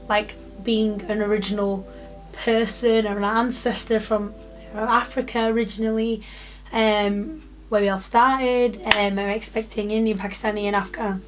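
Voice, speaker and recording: A British woman in her twenties from the UK, chatting casually to camera in a rambling vlog with lots of fillers. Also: a little high. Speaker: YouTuber.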